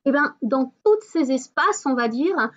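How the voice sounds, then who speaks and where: A woman hosting an online wellness meeting, talking about spirituality and the body in a casual way, often pausing on fillers and restarting her sentences. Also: sits high, expressive, lo-fi sound. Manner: hesitant, many fillers and restarts.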